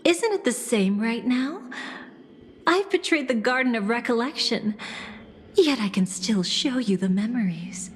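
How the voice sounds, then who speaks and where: A woman playing a seductive, mocking villainess in a video game, a capricious traitor who relishes destruction and speaks in teasing, theatrical, drawn-out phrases. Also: fairly high pitch, animated, a little hiss or hum. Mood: sly, nostalgic. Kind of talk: dramatic video game voice acting. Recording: long reverb, game music behind.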